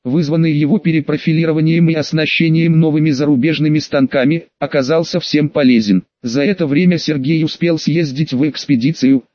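A man's voice: fairly high pitch, darkish tone, no echo, lo-fi sound. A man reading a novel aloud as an audiobook narrator, in scripted storytelling style. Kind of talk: scripted fiction narration with character dialogue.

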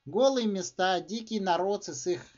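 A man reading his own literary short story aloud in a theatrical, expressive way, as an author narrating. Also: high voice, moderate pace. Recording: dull, slightly muffled recording.

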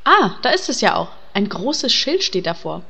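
A woman's voice: mid-range voice, sing-song, normal speed, pushed and bright, breathy edge, big-room sound, heavily acted. A woman playing a bossy, snippy guild leader and scheming wife in a German comedy fantasy audio drama. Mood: brisk.

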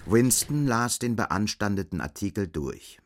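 A man's voice: on the low side, expressive, a little slow. A man narrating a literary radio drama from a script.

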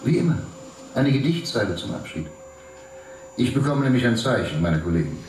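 An actor playing a polished, teasing city official who talks like a politician over a video screen in a radio drama. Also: male voice, medium pitch, very animated, brisk. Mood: teasing, soothing. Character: Nico, smooth, evasive city official charming a friend. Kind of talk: German radio drama acting. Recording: roomy, distant, faintly noisy sound.

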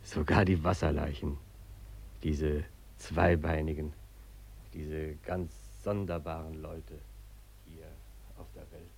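A man acting in a radio play as an otherworldly observer of humans, husky, dryly mocking and musing. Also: rumbling low, level tone, slow pace, pauses often, a little mellow, heavily acted. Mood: sardonic, musing. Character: sardonic otherworldly onlooker musing on strange two-legged humans.

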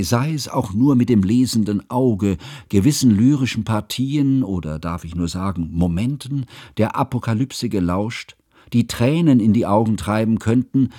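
An actor playing an earnest, learned male chronicler, narrating a literary radio drama in formal, measured, pedantic diction. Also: fairly low pitch, dark tone, dry recording, studio quality. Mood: impassioned, indignant.

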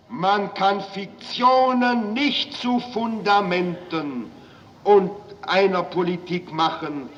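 A man giving a slow, deliberate political speech in an old archive recording. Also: high-pitched, a bit bright. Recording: dull echoey archive recording of a hall.

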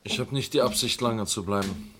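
A man's voice: fairly low pitch, brisk, background noise, close to an everyday voice. An actor playing an indignant, defiant man under accusation in a German crime radio play, stiff and scornful. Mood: subdued, curt.

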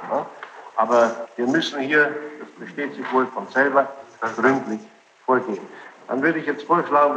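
A man presiding as judge, questioning and giving rulings in formal, halting phrases with pauses. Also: mid-range voice. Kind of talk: courtroom questioning and procedural rulings. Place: courtroom, taped from the room. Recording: echoey, muffled, noisy archival courtroom tape.

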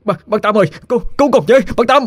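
A man's voice: lowish voice, a little slow, mellow, dry recording, clean studio sound. A man with a Southern Vietnamese accent voicing a terrified young man pleading with his father in panicked, breathless bursts.

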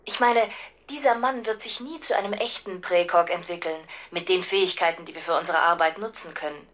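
An actress playing a matter-of-fact female specialist in a radio drama, brisk, official and detached. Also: fairly low pitch, fairly bright tone, natural voice. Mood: cool, dismissive.

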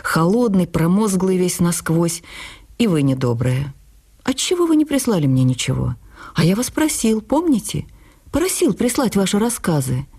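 A woman's voice: on the low side, big pitch swings, normal speed, a little mellow, breathy edge, exaggerated voice. A woman voicing a wistful, wry, playful middle-aged male writer in an audio drama. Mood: reproachful. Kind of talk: audio drama memoir reading.

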